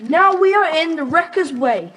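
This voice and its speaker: A woman's voice: extremely high pitch, animated, moderate pace, a bit bright. A woman playing a plucky, earnest young local child in a children's audio adventure. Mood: eager, urgent. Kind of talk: children's audio play acting. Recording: long reverb, some noise.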